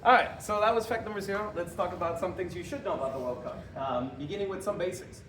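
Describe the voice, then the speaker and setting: A man's voice: high voice, fast, fairly bright tone. Joking young man from Honduras, echoey hall. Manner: joking, quizzing the audience, chuckling at own jokes. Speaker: presenter. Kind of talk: lively interactive talk to a live audience. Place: hall with a live audience. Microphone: room mic from a distance. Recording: echoey hall recorded from far off, background noise.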